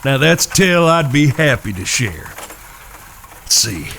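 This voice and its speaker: Man playing a lively, bright-voiced courtly cowboy. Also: fairly high pitch, gravelly, slight background noise, full character voice. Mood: amused storytelling. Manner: folksy, formal old-fashioned courtesy, drawn-out emphatic phrasing. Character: courtly Old West cowboy, gallant, folksy storyteller. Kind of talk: Western audio drama acting.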